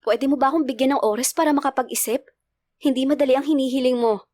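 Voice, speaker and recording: A woman's voice: high-pitched, fast, a little mellow, no echo, close to an everyday voice. Woman acting a tender, emotional young mother. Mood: pleading.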